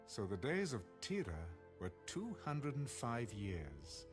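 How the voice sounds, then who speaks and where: A man's voice: medium pitch, wildly swooping pitch, slow pace. A man reading scripture aloud as a Bible narrator. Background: music behind the voice.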